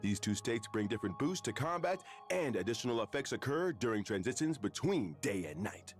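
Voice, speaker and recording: A genial, composed man presenting a game update, as an actor voices him. His delivery is articulate and theatrical, with lively swings in pitch over faint music. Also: brisk, lightly acted. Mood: composed, explanatory. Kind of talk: scripted game update narration.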